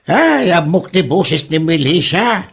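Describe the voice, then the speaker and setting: A man's voice: fairly high pitch, sing-song, takes it slowly, slightly rough, full character voice. An actor playing a suspicious, scolding old father exasperated with his idle son in an Ilocano comedy drama.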